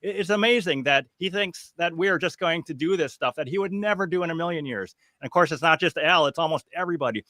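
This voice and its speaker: Guy on a podcast panel, bright tense voice, dry close sound, keeps hammering his point. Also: on the high side, quick pace, few pauses, dry recording. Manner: emphatic, scoffing, repeats key points. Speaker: podcast guest. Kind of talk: casual panel chat.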